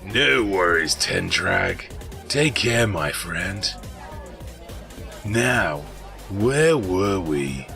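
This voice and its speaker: Guy doing a mocking rogue henchman, very slow gravelly drawl, matey, sci-fi audio play. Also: low voice, wildly swooping pitch, pushed and bright, faint music behind, heavily acted. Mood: matey, teasing. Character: laid-back, mocking rogue villain's sidekick. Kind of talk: sci-fi audio drama acting.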